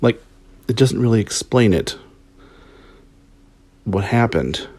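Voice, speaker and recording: A man's voice: fairly low pitch, lively intonation, takes it slowly, darkish tone, no room sound. A man giving a casual spoken review as listener feedback, rambling and hesitant as he thinks aloud.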